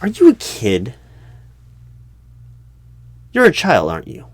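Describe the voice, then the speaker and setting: A man playing a flustered, earnest recruiting manager in a one-sided job interview roleplay, speaking haltingly and often correcting himself. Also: mid-range voice, wildly swooping pitch, breathy edge, dry recording, pitched above the performer's own voice, exaggerated voice. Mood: suspicious, resigned. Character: flustered, unobservant male corporate recruiting manager, earnest, exasperated. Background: computer keyboard typing.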